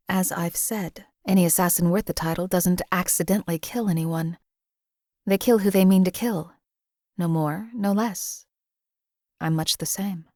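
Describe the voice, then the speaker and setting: A woman voicing a cold-blooded female assassin companion in a game, flat, clipped and detached. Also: fairly low pitch, dry recording, clean studio sound, lightly acted.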